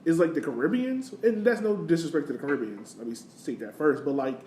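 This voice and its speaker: A man's voice: fairly high pitch, animated, fairly quick, darkish tone. An American man hosting a casual sports podcast, chatting informally with plenty of slang. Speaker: podcast host. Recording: roomy echo, background noise.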